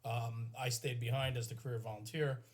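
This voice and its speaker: A man's voice: mid-range voice, level tone, fairly quick, slight background noise. A man chatting casually and agreeably as a podcast interviewer. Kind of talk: casual chat.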